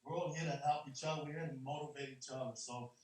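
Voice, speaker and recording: A man's voice: a little high, steady tone, quick pace, a little mellow. A man hosting a casual motivational online call, informally calling on people like buddies. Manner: informal, calls people buddy, brother and my man. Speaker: team call host. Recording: echoey, distant online call audio.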